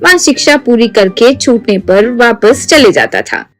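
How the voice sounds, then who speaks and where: A woman's voice: fairly high pitch, animated, unhurried. A woman reading a scripted moral tale as its storyteller, in narration style. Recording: music behind the voice. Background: background music.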